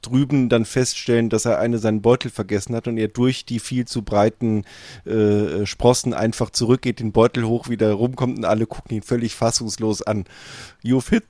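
A man of medium pitch chats informally as a podcast co-host. The sound is dry and close, free of room echo. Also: fairly even intonation, normal speed, mellow. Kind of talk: casual chat.

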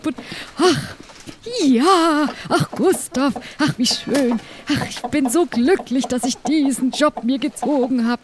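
A woman's voice: high voice, darkish tone, a little hiss or hum. A woman playing a tender-hearted sailor who gushes and coos over her animals in an improvised audio drama. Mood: doting, blissful. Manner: gushing, cooing, hesitant when pleading.

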